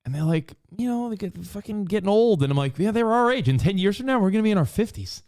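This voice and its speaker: A man in his forties co-hosts a casual podcast chat. His voice sits a little high and swings widely in pitch, and he slips into quoted voices as he talks. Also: fast talker. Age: 40s. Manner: animated, acts out quoted voices. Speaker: podcast co-host.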